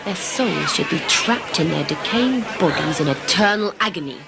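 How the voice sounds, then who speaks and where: A proud, fierce alien warrior woman, played by an actress, speaks in solemn, literal phrases for a sci-fi audio drama. Her pitch moves around a lot. A loud crowd and heavy noise surround her. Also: lively intonation, moderate pace, creaky. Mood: solemn, indignant. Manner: solemn, formal, literal-minded speech. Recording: noisy, busy outdoor crowd backdrop.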